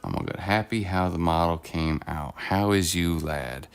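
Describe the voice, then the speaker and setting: A middle-aged man hosting a casual livestream from his home table, rambling and self-deprecating, his voice deepened while getting over an illness, recorded on a phone. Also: normal speed, smooth. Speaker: streamer host. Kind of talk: casual livestream chat while painting miniatures. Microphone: phone on a clamp arm.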